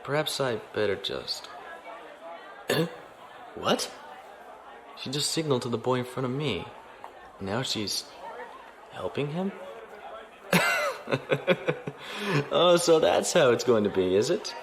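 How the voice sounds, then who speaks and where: An actor playing a self-assured naval officer, giving a dry, cool inner monologue. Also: male voice, mid-range voice, sing-song, slow, long pauses, a crowd in the background, background noise. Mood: amused. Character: cool, cocky male naval officer in disguise. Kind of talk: audio drama acting.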